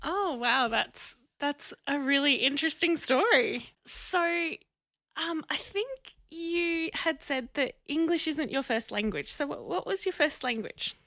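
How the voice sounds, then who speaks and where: A woman's voice: high-pitched, expressive, measured pace, pushed and bright, dry recording, studio quality. A woman hosting a podcast interview, asking questions and laughing along encouragingly. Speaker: podcast host. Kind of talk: interview host.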